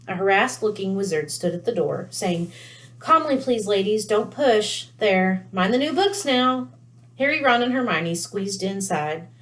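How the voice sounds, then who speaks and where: A woman reading a children's novel aloud in an expressive storytelling style. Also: lowish voice. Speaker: read-aloud reader.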